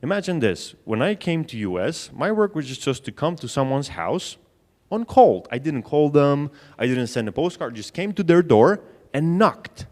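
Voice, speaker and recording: A man's voice: a little high, big pitch swings, moderate pace. A man with a Polish accent giving a conference talk, telling a story and putting questions to the audience. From Poland. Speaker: conference speaker on sales. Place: conference hall. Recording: long hall reverb.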